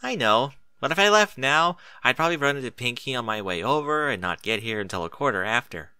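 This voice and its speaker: A man's voice: medium pitch, lively intonation, brisk, bright, forceful tone, clean tone, no echo. A man voicing a polite, earnest young female pony in a neat, friendly manner for a story.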